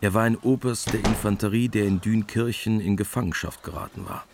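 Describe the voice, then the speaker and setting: A man giving scripted literary narration for a radio play in a measured, matter-of-fact manner. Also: fairly low pitch, fairly even intonation, darkish tone, a little hiss or hum.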